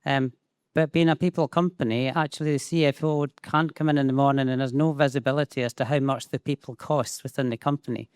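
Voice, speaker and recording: A man's voice: medium pitch, steady tone, brisk, clean tone, no echo, clean studio sound. A Scottish man speaking on a conference panel about payroll, talking with many fillers and restarts. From Scotland. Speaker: payroll process owner, panelist. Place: conference stage.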